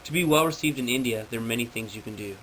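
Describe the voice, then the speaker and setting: A man with a mid-pitched voice delivers a prepared informational talk. His phrasing is formal and textbook-like, as if read from notes. The amateur recording carries clear background noise. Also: quick pace, a bit bright, low-quality recording. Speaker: presenter.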